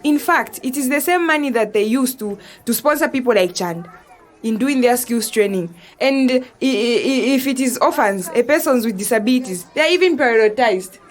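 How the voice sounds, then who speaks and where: A woman with a Zambian accent playing a bright, respectful young woman who patiently explains things in an educational audio drama. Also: fairly high pitch, lively intonation, moderate pace, lightly acted. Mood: earnest, explanatory.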